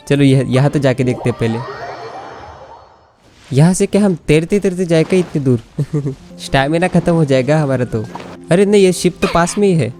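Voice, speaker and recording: A man's voice: on the high side, expressive, very fast, warm tone, faint music behind. A man with an Indian accent and native Hindi, giving casual, giggly gameplay commentary that mixes Hindi and English. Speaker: gaming YouTuber.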